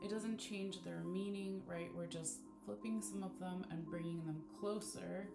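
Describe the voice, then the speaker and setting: A soft-voiced woman with a slightly low pitch talks gently and unhurriedly through a live-streamed divination reading, sprinkling in plenty of "um" and "okay." She sounds like she's in an echoey room, with loud guitar music playing behind her. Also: fairly even intonation, unhurried, vocal fry. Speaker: bones and charms reader.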